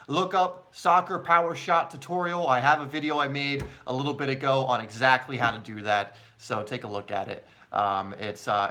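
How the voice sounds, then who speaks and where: Bright-voiced man chatting on a live stream. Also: mid-range voice. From born in England, long living in the United States. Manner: chatty, informal, addresses viewers as mate and guys. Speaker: soccer YouTuber and live stream host. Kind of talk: casual live stream Q&A chat.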